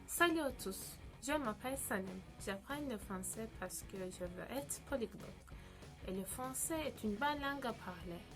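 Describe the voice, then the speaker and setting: A woman's voice: fairly high pitch, lively intonation, moderate pace. A young woman, a native Turkish speaker, giving an upbeat prepared answer as a language student. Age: young adult. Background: background music.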